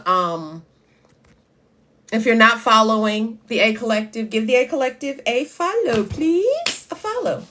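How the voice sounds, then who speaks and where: Lady in her 50s chatting on a live stream, loud voice, a bit high, talks right to the viewers. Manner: chatty, reads viewer comments aloud, loud voice that carries. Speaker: livestream host. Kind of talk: casual live chat.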